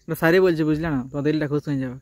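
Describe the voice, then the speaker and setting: Very fast-talking, high-pitched young Bengali man.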